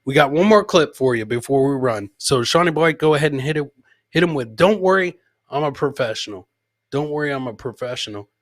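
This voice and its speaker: A man's voice: mid-range voice, animated, brisk, no room sound, studio quality. A man hosting a live show, chatting casually and informally as he reacts to clips and often repeating his own lines. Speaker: podcast host and stand-up comedian.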